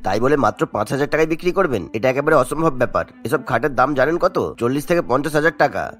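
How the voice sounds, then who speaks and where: Cartoon father of the bride, played by a man: middle-aged, earnest, quick-spoken, mid-pitched, faint music behind. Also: animated, a bit bright, natural voice. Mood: curious, doubtful. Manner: explanatory, earnest. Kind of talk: Bengali cartoon acting.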